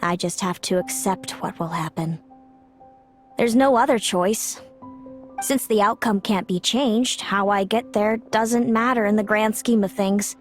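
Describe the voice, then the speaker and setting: A woman's voice: medium pitch, faint music behind, natural voice. Woman voicing a cool, fatalistic older sister. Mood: resigned. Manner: measured, grave, speaks of fate with cool confidence. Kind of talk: video game voice acting.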